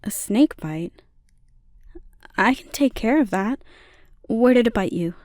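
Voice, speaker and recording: Woman acting soft, mellow, soothing slime girl. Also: a little high, lively intonation, takes it slowly, dry recording, studio quality. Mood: soothing concern. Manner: soft, soothing, intimate delivery. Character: gentle, caring slime monster girl, playfully teasing. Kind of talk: ASMR roleplay.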